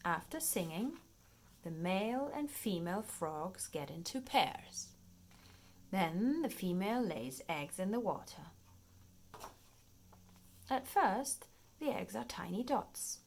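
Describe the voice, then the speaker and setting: A woman narrates a children's picture book in a playful read-aloud style. Her pace is slow and her pitch moves in lively swoops, with some creak in the voice. There is faint noise behind her. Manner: expressive, playful, clearly paced for young children. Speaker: children's storybook reader.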